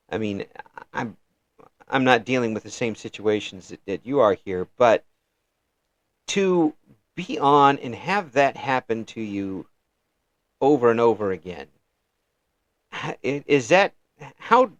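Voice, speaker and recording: Chuckling male interview host, lively pitch. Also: dry recording. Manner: halting, many restarts and frequent chuckles. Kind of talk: casual video-call interview chat.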